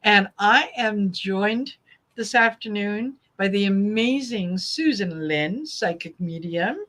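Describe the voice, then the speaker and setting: A woman's voice: mid-range voice, slow pace. Laughing woman hosting a tarot stream. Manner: warm, agreeable, laughs often. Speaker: tarot channel host. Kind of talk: casual livestream chat.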